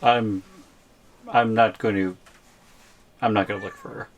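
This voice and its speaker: A man's voice: fairly low pitch, fairly even intonation, unhurried, phone-quality sound, natural voice. A man playing a wary, placating captive boyfriend in an ASMR audio roleplay, with a hesitant, questioning, understated delivery. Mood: defensive.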